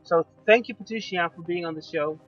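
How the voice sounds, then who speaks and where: Soft-spoken man radio host, lo-fi. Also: sits high, brisk, soft background music. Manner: gentle, affirming, many fillers. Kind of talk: interview and show sign-off. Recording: dull lo-fi dry sound.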